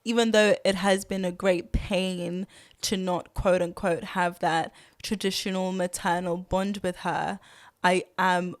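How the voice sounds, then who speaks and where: A woman's voice: fairly low pitch, steady tone, unhurried, no echo, clean studio sound. A young woman guest on a podcast, speaking candidly and thoughtfully, with frequent fillers and restarts and easy laughter. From grew up partly in Zimbabwe and London. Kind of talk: candid reflective conversation.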